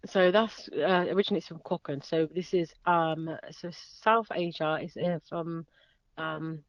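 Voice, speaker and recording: A woman's voice: sits low, steady tone, a little slow, dry recording. Hesitant woman, dry and dull-sounding. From southern England. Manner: hesitant, many fillers, laughs often. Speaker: interviewee. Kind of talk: casual reflective conversation.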